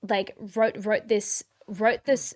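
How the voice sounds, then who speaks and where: Chatty Australian actress. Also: female voice, mid-range voice, fairly even intonation, slow. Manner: chatty, many restarts and fillers. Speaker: actress guest. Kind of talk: casual interview.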